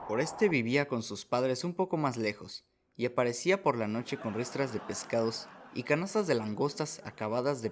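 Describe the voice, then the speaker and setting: A man's voice: mid-range voice, a little hiss or hum. A man reading scripted first-person story narration as the narrator of a radio drama.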